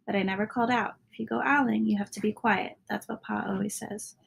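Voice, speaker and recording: A woman reading a children's story aloud as a narrator, sometimes dropping into a hushed tone at the ends of lines. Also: mid-range voice, fairly even intonation, fast talker, vocal fry, husky. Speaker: storybook reader.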